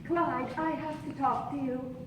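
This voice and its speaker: An actress playing a regretful middle-aged widow meeting an old flame in a melodramatic, hesitant stage style. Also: female voice, high voice, steady tone, unhurried. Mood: anguished, regretful. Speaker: stage actor. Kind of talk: live stage radio play, 1940s film romance parody. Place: theatre stage, live performance. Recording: echoey, distant, noisy stage recording.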